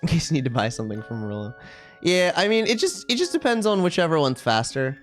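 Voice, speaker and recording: A video game streamer chatting casually with viewers on a live stream, rambling and thinking out loud. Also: mid-range voice, big pitch swings, fast talker, faint music behind.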